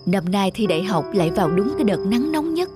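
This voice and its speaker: A woman voicing a gentle, caring elderly village mother in a cartoon. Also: mid-range voice, very animated, fast talker, music in the background, lightly acted. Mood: tender. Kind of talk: cartoon dubbing.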